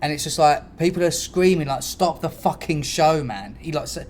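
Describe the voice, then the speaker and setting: A man hosting a casual podcast, telling stories in a slangy, sweary, animated way. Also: a little high, normal speed, slight background noise. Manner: animated storyteller, sweary, slangy, mimics noises and dialogue. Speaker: podcast host.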